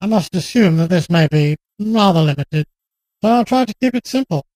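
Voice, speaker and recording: A woman acting a grave, frank medical specialist in a radio drama, speaking in a formal, measured and direct way. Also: fairly low pitch, animated, mellow, dry recording, close to an everyday voice, rough recording.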